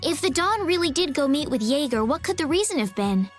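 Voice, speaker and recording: An actress playing a gentle, worried young heroine in a video game, speaking politely and earnestly. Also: female voice, high-pitched, very animated, a little fast. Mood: anxious. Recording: music under the voice.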